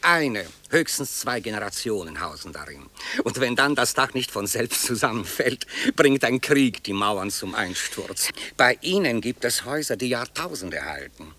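An actor playing a courteous, educated architect in a radio play, speaking formally and deliberately. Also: male voice, medium pitch, sing-song, sharp tone. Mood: admiring, reflective. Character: courteous, educated Polish architect, reserved lodger. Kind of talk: dramatic radio play acting.

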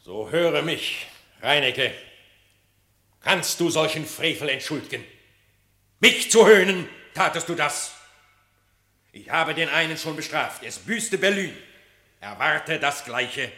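A man's voice: on the high side, lively intonation, slow, pauses often, pushed and bright, echoey room, full character voice. An actor playing a stern male animal king holding court in a radio play. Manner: commanding, stern.

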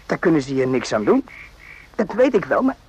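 An actor playing a naive, randy young soldier in a radio drama, rambling and jokey in an eager, confiding way. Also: male voice, fairly high pitch, sing-song, a little fast, a little mellow, husky, exaggerated voice. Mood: earnest, confiding. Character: chatty, naive, randy young army soldier, former circus hand. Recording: muffled lo-fi old radio recording.

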